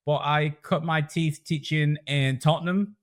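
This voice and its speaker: A man's voice: fairly high pitch, steady tone, unhurried. A man from Stoke in England with a Stoke accent, a guest in a casual interview, talking in a rambling, self-correcting way. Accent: Stoke-on-Trent English. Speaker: podcast guest, school director.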